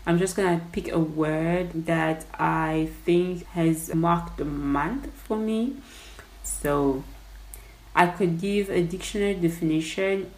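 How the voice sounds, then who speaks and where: A woman's voice: fairly low pitch, reverberant, poor audio. A young Congolese woman with a French-tinged English accent, a podcast host thinking aloud about her show in a casual, reflective way. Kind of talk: casual behind-the-scenes monologue.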